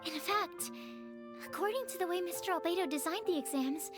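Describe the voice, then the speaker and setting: A woman playing a young, polite, studious alchemist in a video game, careful and gently encouraging. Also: very high-pitched, brisk, over music. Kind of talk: video game character acting.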